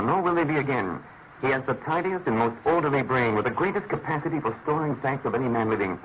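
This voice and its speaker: Brilliant, restless male detective for a classic radio mystery, played by a man: articulate, quick and lively in pitch, on a narrow-band recording. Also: a little hiss or hum, lightly acted. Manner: articulate, declamatory, musing. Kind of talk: classic British radio drama acting.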